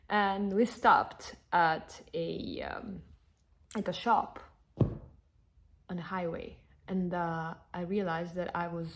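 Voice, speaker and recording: A woman in her thirties from Northern Italy, a native Italian speaker, chatting to camera in an animated, emphatic way, answering followers' questions. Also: on the low side. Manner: animated, emphatic, repeats herself. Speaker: content creator. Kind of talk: casual Q&A chat to camera.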